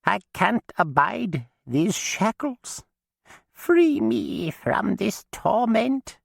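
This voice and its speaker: A man voicing a chained, dead old witch woman who croaks in a quivering voice full of pain. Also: high-pitched, very animated, very slow, dry recording, studio quality, pitched above the performer's own voice, exaggerated voice. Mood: begging.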